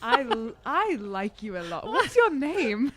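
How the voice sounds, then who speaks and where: A woman's voice: high-pitched, wildly swooping pitch, measured pace, very noisy. A woman chatting casually as a player in a tabletop game, giggly and often questioning. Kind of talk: casual improvised game chat.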